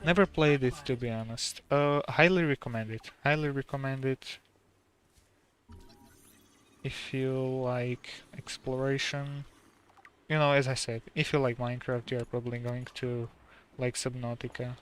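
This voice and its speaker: Calm, slow Slovak-accented man. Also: medium pitch, a little hiss or hum. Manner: soft-spoken and calm. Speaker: streamer. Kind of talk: casual gameplay commentary.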